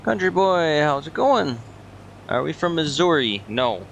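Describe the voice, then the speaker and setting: A man's voice: a little high, very animated, measured pace, vocal fry, fairly bright tone, dull audio, slight background noise. A man from Michigan hosting a game stream, easygoing, laughing easily and reading chat aloud. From Michigan, USA. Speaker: streamer host. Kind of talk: casual gaming chat.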